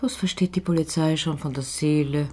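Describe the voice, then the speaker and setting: An actor playing a world-weary theatre colleague sharing secrets in a radio drama, confiding and sardonic in a deliberate way. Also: male voice, a little high, steady tone, darkish tone, husky.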